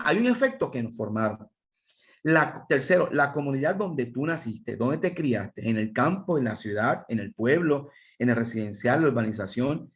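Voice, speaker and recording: A man with a Puerto Rican accent, teaching a seminar for pastors, counting off points and putting questions to his listeners. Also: mid-range voice. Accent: Puerto Rican Spanish. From Puerto Rico. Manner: emphatic, lists points and asks the audience questions.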